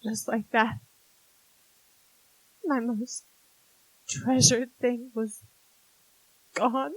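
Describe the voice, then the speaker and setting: A woman's voice: fairly high pitch, level tone, extremely slow, dry recording, poor audio. An actress playing a strong-willed, devoted sister who narrates her memories in a 1940s-style radio drama, with an emotional, faltering delivery. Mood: grieving, subdued.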